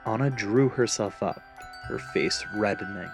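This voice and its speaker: A man reads a scripted fantasy tale as its narrator. His voice is on the low side, with dramatic storytelling delivery over a musical backdrop. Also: unhurried, soft background music.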